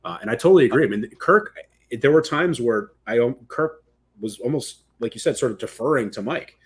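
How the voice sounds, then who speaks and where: A man's voice: medium pitch, lively intonation, brisk, husky. A man co-hosting a podcast in casual conversation, agreeable and fond of long, winding explanations. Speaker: podcast co-host.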